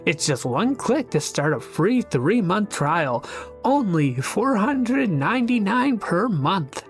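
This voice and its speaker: A narrator acting a chirpy, high corporate advert. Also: very animated, takes it slowly, faint music behind. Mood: chirpy pitch. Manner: salesy, scripted.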